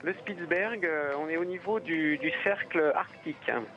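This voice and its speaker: A man's voice: a little high, normal speed. A man runner speaking by phone in an interview, hesitant, with many fillers and restarts. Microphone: telephone. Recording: tinny phone line.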